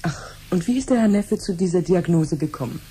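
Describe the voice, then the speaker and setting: Shrewd doctor aunt, mellow low voice. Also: female voice, animated, brisk, slightly rough. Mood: wry. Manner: dry, gently mocking, precise. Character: shrewd, dry aunt who is a clinic doctor. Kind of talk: 1970s radio play acting. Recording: faintly noisy 1970s radio recording.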